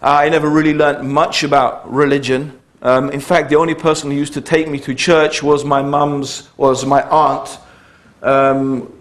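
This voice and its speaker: Man telling his life story. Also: a little high. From United Kingdom. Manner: conversational, digressive, with many fillers and restarts. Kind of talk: public talk, personal storytelling.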